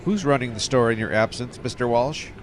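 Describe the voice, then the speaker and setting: A man's voice: medium pitch, fairly quick, lightly acted. A man playing a brusque, skeptical police captain. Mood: businesslike. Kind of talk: audio drama acting. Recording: faint outdoor street and vehicle noise.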